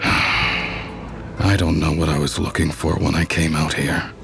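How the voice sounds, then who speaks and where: An actor playing a weary, brooding warlock outcast, narrating grimly and deliberately in a motion comic. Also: male voice, rumbling low, flat delivery, gravelly, full character voice. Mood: weary, bitter. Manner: grim, deliberate, dryly understated. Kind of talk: first-person scripted narration in a motion comic. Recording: loud dramatic music bed. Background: dramatic music.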